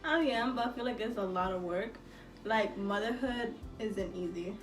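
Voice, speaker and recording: A woman's voice: mid-range voice, normal speed. An eighteen-year-old woman from El Salvador co-hosting a casual Q&A vlog in a slangy, candid way. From El Salvador, moved to the United States as a child. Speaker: vlog co-host. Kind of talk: casual Q&A chat. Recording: roomy, distant mic, music behind. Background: music.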